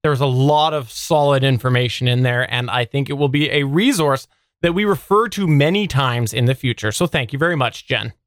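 A man's voice: medium pitch, a little fast, no echo, studio quality. A man co-hosting a podcast in casual, effusive talk, repeating himself for emphasis. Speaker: podcast co-host.

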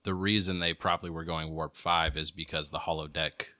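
A man's voice: extremely low pitch, little pitch movement, brisk, sharp tone, dry recording, studio quality. A man co-hosting a podcast in a casual, laid-back conversational way. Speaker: podcast co-host. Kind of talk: casual chat.

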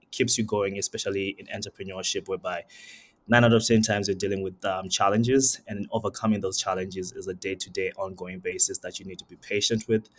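A young man from Zimbabwe, a startup founder answering interview questions in a casual, halting way with many ums and uhs. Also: sits low. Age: young adult. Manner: hesitant, many ums and uhs, repeats words.

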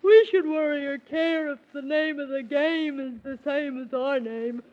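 A woman's voice: sits high, measured pace. A woman playing a cheeky, argumentative little male imp who talks in rhyming nonsense in a 1930s children's radio serial. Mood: contrary, smug. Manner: rhyming nonsense patter, contrary. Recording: muffled old 1930s radio recording.

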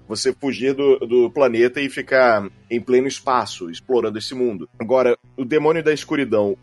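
A man's voice: medium pitch, dry recording. Brazilian man explaining emphatically, dry sound. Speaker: podcast co-host giving analysis. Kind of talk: casual, opinionated analysis. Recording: close dry sound, faint music. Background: music.

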